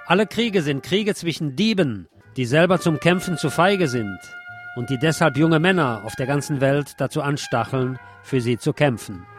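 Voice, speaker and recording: A man hosting a radio show, reading a scripted literary essay in a deliberate way. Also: a little high. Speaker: radio host. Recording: music behind the voice. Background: music.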